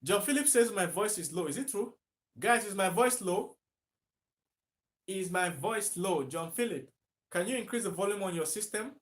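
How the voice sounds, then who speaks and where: A man's voice: high-pitched, expressive, normal speed, fairly bright tone. A Nigerian man talking on a live stream to viewers, emphatic, repeating himself and asking rhetorical questions. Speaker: livestreaming commentator.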